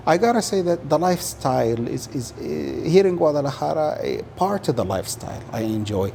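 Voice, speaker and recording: A man from Saudi Arabia, a native Arabic speaker, answering casually in an interview and often pausing and repeating words as he explains. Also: on the high side, big pitch swings, vocal fry, dark tone, slight background noise. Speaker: interview guest.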